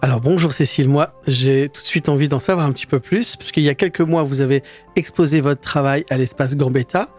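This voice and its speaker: A man's voice: a little high, brisk, darkish tone, faint music behind. A man co-hosting a local radio show, asking a guest friendly, wordy questions. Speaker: radio co-host and technician.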